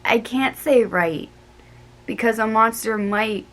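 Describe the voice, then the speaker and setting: A woman voicing a quiet, serious little girl who talks earnestly to her worried friend in a storybook reading. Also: a little high, slow.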